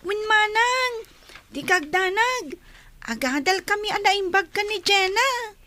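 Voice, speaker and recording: A woman's voice: very high-pitched, animated, unhurried, bright, forceful tone, heavily acted. A woman playing a sweet, eager young schoolchild, the younger sibling in a radio drama. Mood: obedient. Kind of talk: Ilocano radio drama acting.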